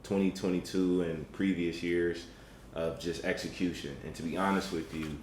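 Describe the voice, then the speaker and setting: A man with a very deep voice talks in measured, motivational tones, often pausing on an um. The room is echoey. A faint hiss runs underneath. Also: fairly even intonation. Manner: measured, with frequent ums and a motivational tone. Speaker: podcast guest. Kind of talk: casual conversation.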